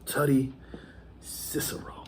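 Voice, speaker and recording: A man performing a dramatic monologue as a swaggering, foul-mouthed gangster reminiscing about his youth, halting and repeating words for emphasis. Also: background noise, lightly acted. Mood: wistful, confiding.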